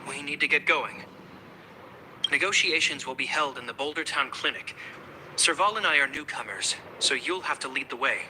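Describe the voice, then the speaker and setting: A man's voice: on the high side, noisy background, close to an everyday voice. A man voicing a formal, composed young officer. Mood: gently understanding. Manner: measured, formal, polite. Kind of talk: video game voice acting. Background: background music.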